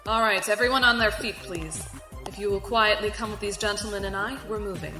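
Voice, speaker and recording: Actress as female military captain, bright forceful voice, smug, coolly menacing, clipped, sci-fi audio drama, light music behind. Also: medium pitch, fairly even intonation, a little fast, natural voice. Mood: falsely polite. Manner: clipped, falsely polite, authoritative. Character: commanding fleet security captain, woman, smug and coolly menacing. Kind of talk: science fiction audio drama acting.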